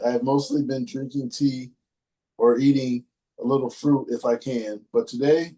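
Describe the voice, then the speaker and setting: Male host, mid-range and mellow, laid-back reader. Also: mid-range voice, rough recording. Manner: laid-back, reads in a halting steady way. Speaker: podcast host. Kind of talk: casual chat and reading a listener post aloud.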